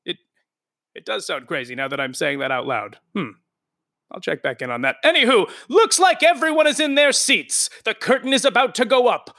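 A chatty, campy theater gossip, with a very high, bright voice. The delivery is flippant and exclamatory, swooping around as comic narration in an audio drama. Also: male voice, exaggerated voice. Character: gossipy, flippant backstage commentator at a Broadway show.

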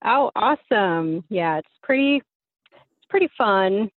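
A woman's voice: medium pitch, animated, slow, no room sound. Young American woman on a tinny phone line. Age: young adult. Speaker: phone-in guest. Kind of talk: casual personal storytelling. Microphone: phone call.

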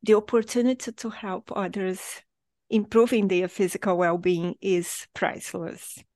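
A woman's voice: medium pitch, a little slow, a little mellow, no room sound. A woman hosting a podcast interview, asking questions and giving short encouraging replies. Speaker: podcast host.

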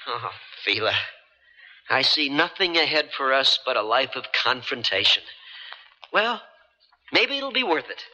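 Bright-voiced, wry adventurer hero. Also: male voice, mid-range voice, very animated, unhurried, pauses often, big-room sound, lo-fi sound, exaggerated voice. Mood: wry, pleased. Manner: hesitant, wry, colloquial asides. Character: wry, stubborn adventurer hero, ordinary guy, suspicious. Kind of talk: 1970s American radio drama acting. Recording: vintage radio drama broadcast.

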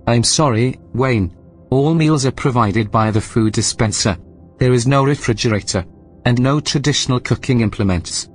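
Man acting as deadpan, snide station AI. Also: medium pitch, moderate pace, husky, faint music behind, close to an everyday voice. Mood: composed, deadpan. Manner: deadpan, precise, formal with sardonic jabs. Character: dry, snide male AI station supervisor, composed. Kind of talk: scripted sci-fi audio drama.

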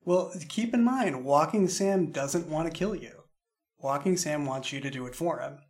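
A man's voice: on the high side, animated, normal speed, a little mellow. A man talking casually in a behind-the-scenes discussion, explaining things with an occasional chuckle in his speech. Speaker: podcast creator in a cast discussion.

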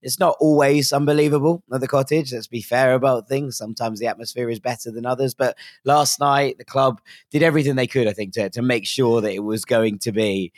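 A hoarse man hosting a casual football podcast, chatty and enthusiastic with frequent ums. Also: medium pitch, fairly even intonation, a little fast, no echo. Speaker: podcast host.

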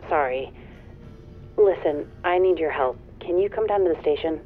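An actress playing a detective sister who urgently pleads for help over the phone. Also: female voice, low voice, moderate pace, faint music behind, natural voice. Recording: tinny phone line.

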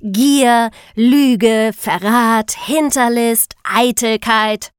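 A woman's voice: a little high, drawn-out words, clean tone, no room sound, clean studio sound. A woman co-hosting a podcast, moving between scripted narration and light banter. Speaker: podcast co-host.

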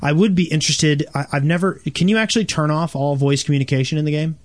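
A man's voice: a little high, fairly even intonation, quick pace, no echo. A man co-hosting a casual gaming podcast, often restarting and repeating his phrases as he makes a point. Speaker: podcast co-host.

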